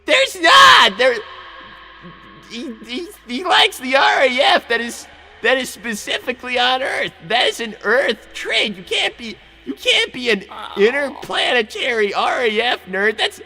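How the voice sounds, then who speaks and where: A man voicing a high-strung young cartoon fox inventor, stammering and melodramatically exasperated in improvised comedy. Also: sing-song, measured pace, gravelly, pushed and bright, shouting, full character voice. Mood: frantic outrage. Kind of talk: improvised in-character comedy livestream.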